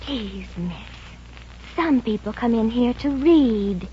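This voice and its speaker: A coy, sugary young woman librarian from an old radio comedy. She is high-pitched and swoops with catty politeness. The broadcast recording sounds muffled. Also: expressive, takes it slowly, slight background noise. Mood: prim reproof. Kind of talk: 1950s radio comedy acting.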